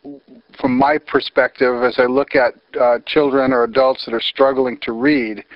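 A man's voice: mid-range voice, little pitch movement, moderate pace, dry recording. An American man hosting a phone interview, earnest and wordy with frequent fillers. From United States. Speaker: interviewer, host. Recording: dry, slightly muffled phone recording.

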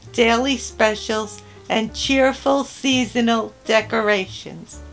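An older woman hosting a cooking and travel vlog, narrating in a careful, gentle scripted voice-over. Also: on the high side. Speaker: channel host.

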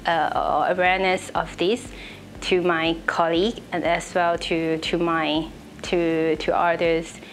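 Slow-talking young Lao-accented woman. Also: on the low side, flat delivery, a little hiss or hum. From Laos. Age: young adult. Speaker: interviewee, trainee. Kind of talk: interview answers. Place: indoor office room. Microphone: lapel.

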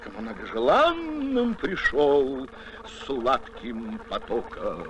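An actor playing a solemn reciter of ancient fables, narrating verse expressively for an animated film. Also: male voice, mid-range voice, slow pace. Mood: solemn storytelling. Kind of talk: poetic scripted narration. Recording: noisy film soundtrack.

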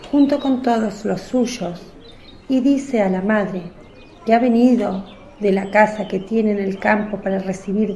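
A woman's voice: mid-range voice, warm tone. A woman reading scripted narration for a religious radio drama. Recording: roomy, echoing voice track.

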